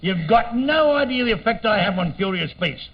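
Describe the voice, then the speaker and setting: An actor playing a cheeky, boastful hot-dog vendor with an Australian accent and camp comic patter on old-time radio. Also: male voice, sits high, lively intonation, normal speed. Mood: chatty, boastful. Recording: muffled old radio recording, audience behind.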